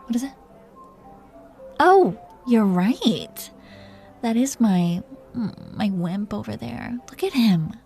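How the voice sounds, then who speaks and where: A woman's voice: on the high side, animated, takes it slowly, gravelly, pauses often, darkish tone, soft background music, exaggerated voice. A woman playing a commanding, playful, possessive dragon queen in an intimate roleplay audio drama. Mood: fond, amused. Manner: imperious, playful, teasing.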